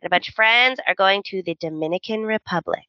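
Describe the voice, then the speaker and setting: A woman's voice: medium pitch, fast talker, bright, forceful tone, no room sound, highs cut off. A woman in her thirties co-hosting a podcast, telling stories in casual chat and laughing often. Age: 30s. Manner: chatty storyteller, laughs often. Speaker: podcast co-host.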